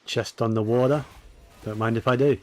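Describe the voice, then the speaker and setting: A man giving casual gameplay commentary, musing to himself in a low-key way. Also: steady tone, normal speed. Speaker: gaming streamer. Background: faint game music.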